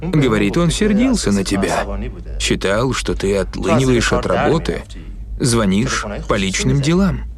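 A man's voice: medium pitch, very animated, unhurried. A man reading the curt, questioning lines of a stern male police investigator, as a voice-over with crowd noise underneath. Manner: curt, interrogating.

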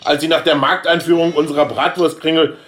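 A man playing a gruff, pushy, dismissive boss in a satirical radio play. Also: on the high side, steady tone, a little fast, fairly bright tone, close to an everyday voice.

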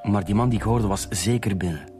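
A cautious Flemish caretaker, played by a man in a radio drama. His voice is deep as he gives a factual account over faint music. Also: brisk, dark tone, natural voice. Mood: careful, factual. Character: cautious male caretaker giving a witness statement.

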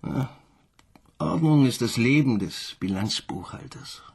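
An actor playing a junior police detective in a radio crime play, reporting and raising doubts. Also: male voice, mid-range voice, lively intonation, unhurried, gravelly, husky, full character voice. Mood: doubtful. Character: earnest male police colleague.

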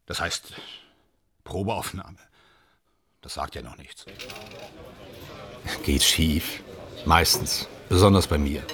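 An actor playing an anxious, evasive, self-doubting man in a German radio drama, speaking in halting, clipped phrases. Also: extremely low pitch, fairly bright tone, husky, exaggerated voice. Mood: resigned, evasive. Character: anxious, evasive film actor dreading a screen test.

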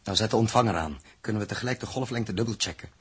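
An actor with a Netherlands Dutch accent playing an authoritative, methodical spaceship captain in a 1960s radio drama, husky and commanding. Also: male voice, lowish voice, fast talker, a little hiss or hum, lightly acted. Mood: businesslike. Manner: commanding, instructive.

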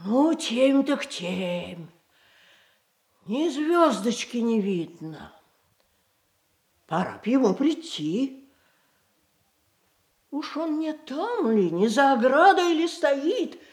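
An actress playing a sly, merry matchmaker in a radio play, with a folksy, sing-song, exclaiming delivery. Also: female voice, high-pitched, very animated, extremely slow, pauses often, darkish tone, heavily acted. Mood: watchful. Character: sly, merry, greedy matchmaker woman chaperoning lovers. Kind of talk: theatrical radio play acting.

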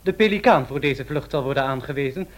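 An actor playing a 1930s newspaper reporter who declaims headlines and reports formally in a radio drama. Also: male voice, mid-range voice, animated, fast talker, natural voice. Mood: declamatory. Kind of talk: 1930s press report reading.

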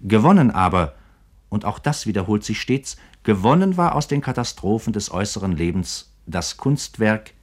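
A man giving scripted literary radio commentary in a deliberate, grave, rhetorical manner. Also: fairly low pitch, sing-song. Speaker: narrator, commentator.

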